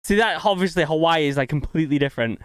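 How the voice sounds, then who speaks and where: A man's voice: a little high, fast talker, clean tone, soft background music. A young English man hosting a reaction video, chatting casually and talking back at the clips with laughs. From United Kingdom.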